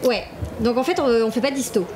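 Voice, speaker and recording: A French woman answers questions casually in an interview. Her voice sits a little high. A busy festival crowd chatters clearly around her. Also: a little fast. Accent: French from France. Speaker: interviewee, member of a fantasy role-play association. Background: crowd at a medieval festival.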